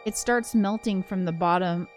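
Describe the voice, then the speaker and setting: A woman's voice: mid-range voice, normal speed, over music. A woman reading a visual novel aloud, slipping into casual, chatty commentary with giggly asides. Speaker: co-host of a gaming show.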